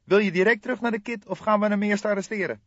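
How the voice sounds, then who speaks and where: A man voicing an excitable, impulsive young police detective in an audiobook, full of suspicious questions and exclamations. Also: sits high, quick pace, no room sound, muffled recording, pitched above the performer's own voice. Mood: eager. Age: young adult. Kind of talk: audiobook character dialogue.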